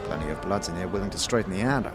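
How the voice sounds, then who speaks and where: A man voicing an excitable innkeeper and showy salesman with rapid-fire, rhyming patter in a fantasy audio game. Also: low voice, pitched below the performer's own voice. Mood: brisk, wary. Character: manic, fast-talking male innkeeper and showy salesman. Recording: music under the voice.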